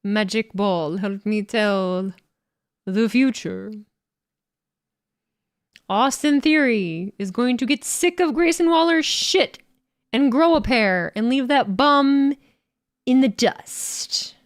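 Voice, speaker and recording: A dramatic fortune-teller, voiced by a woman, stretches out ominous prophecies slowly and deliberately. The delivery is unhurried and theatrical, recorded dry and close. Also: fairly high pitch, dry recording. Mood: portentous. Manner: slow, drawn-out, ominous pronouncements. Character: theatrical mystic seer reading a magic ball.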